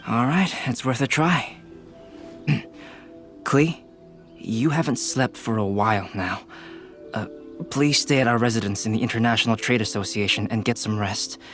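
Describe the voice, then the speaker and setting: A man voicing a composed, polite young scholarly painter in a video game, speaking softly and in a measured way. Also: medium pitch, close to an everyday voice. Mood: gently caring. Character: calm, courteous young male scholarly painter. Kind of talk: video game cutscene voice acting. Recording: music behind the voice.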